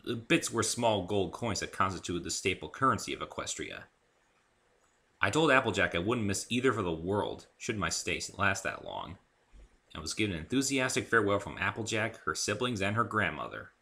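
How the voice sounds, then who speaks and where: A man giving an expressive first-person dramatic reading of scripted fiction as its narrator. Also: fairly low pitch, normal speed, creaky. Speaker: author reading own story.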